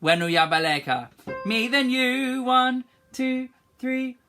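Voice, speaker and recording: Bright-voiced woman leading a singing lesson. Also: sits high, animated, slow, soft background music. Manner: encouraging, sings short phrases for children to echo. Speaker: singing teacher. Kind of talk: online singing lesson, call and response.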